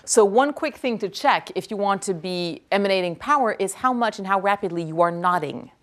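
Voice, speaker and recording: Brief: native French speaker, woman, lively lecturer in a hall, repeats each question before answering. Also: medium pitch. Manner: energetic, quick to praise, repeats each question aloud.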